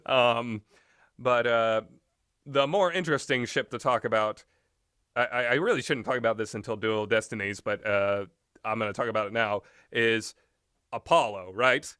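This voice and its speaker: A man's voice: medium pitch, moderate pace, bright, forceful tone, smooth, no room sound, studio quality. A man giving casual, rambling commentary in a blunt, opinionated way, swearing freely as he goes. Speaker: solo commentator on visual novels.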